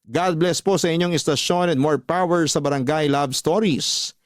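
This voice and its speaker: A man's voice: fairly high pitch, moderate pace, no echo, studio quality. A man with a Filipino accent hosting a radio drama, reading a scripted first-person letter and commentary as storyteller. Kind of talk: scripted narration of listener letters.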